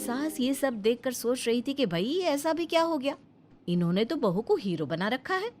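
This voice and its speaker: A woman's voice: high-pitched, sing-song, normal speed, faint music behind. A woman narrating a scripted Hindi family moral story as a storyteller.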